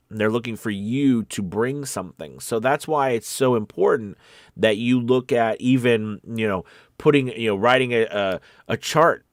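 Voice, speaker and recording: Guy with a lowish voice coaching in a chatty encouraging way, really dry close sound. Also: no room sound. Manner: conversational, encouraging, frequent check-in questions. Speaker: voice acting coach. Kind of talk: casual instructional talk.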